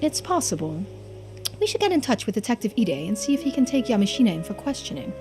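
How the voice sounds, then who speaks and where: A woman's voice: fairly high pitch, very animated, quick pace, music in the background. A woman reading video game dialogue aloud expressively as a streamer, playing out the characters. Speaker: streamer voicing a game playthrough.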